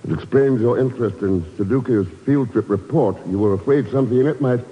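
An actor playing a cool, wry secret agent in a 1950s radio drama, dry, terse and unflappable. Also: male voice, medium pitch, brisk, a little hiss or hum, close to an everyday voice. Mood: calm, defiant. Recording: dull old 1950s radio recording.

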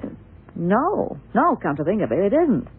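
An actress playing a hesitant, helpful theater dresser in a 1970s radio drama. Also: female voice, mid-range voice, sing-song, moderate pace, husky, full character voice. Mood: hesitant, earnest. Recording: phone-like, muffled old radio recording.

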